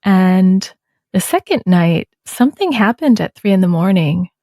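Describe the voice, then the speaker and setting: A woman in her thirties hosting an interview podcast, speaking in a measured, reflective way. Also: mid-range voice, lively intonation, mellow, no echo, clean studio sound. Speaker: podcast host. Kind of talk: conversational interview.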